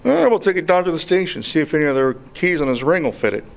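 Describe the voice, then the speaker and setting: A man's voice: fairly high pitch, very animated, fast talker, creaky. A man acting an inquisitive, dogged police detective in an amateur live radio play. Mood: brisk, probing.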